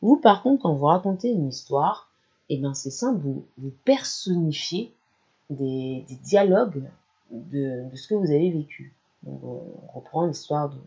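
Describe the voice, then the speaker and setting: Gravelly, mellow French-speaking teacher. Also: mid-range voice, expressive, measured pace, muffled recording. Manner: explanatory, addresses listener directly. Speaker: language channel presenter. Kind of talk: educational talk to camera.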